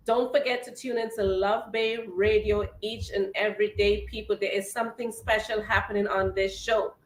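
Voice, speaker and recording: A woman radio host with a bright, forceful voice pitched a little high. She talks casually and keeps hyping her listeners with promotions. The sound is echoey, like a roomy studio.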